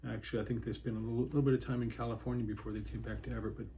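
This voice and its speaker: A man in his sixties from Washington State, recalling his childhood in a rambling way with many pauses and restarts. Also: mid-range voice, little pitch movement, dark tone, slight background noise. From Washington State, USA. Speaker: interviewee, hiker. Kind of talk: casual reminiscing interview.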